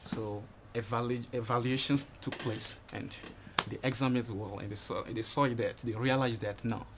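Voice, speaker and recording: A man's voice: mid-range voice, steady tone, normal speed, background noise. A young man from Ghana in his twenties, answering questions in a TV studio interview and rambling with frequent "you know" and "you understand". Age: 20s. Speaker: interview guest. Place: TV studio set.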